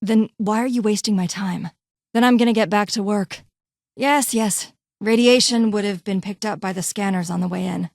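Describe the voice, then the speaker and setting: A woman playing a curt vault doctor and scientist from a video game. She is haughty and impatient, phrasing things formally in a clipped, condescending way. The voice sits at a middle pitch in a very dry space. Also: moderate pace, gravelly, a little mellow, no room sound, natural voice. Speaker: voice actor in a game mod. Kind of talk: scripted game character dialogue.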